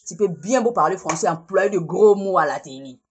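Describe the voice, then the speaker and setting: Husky, combative woman ranting. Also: lowish voice, animated, moderate pace, a little mellow. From Congo. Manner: combative, scolding, repeats herself. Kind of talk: heated social media rant.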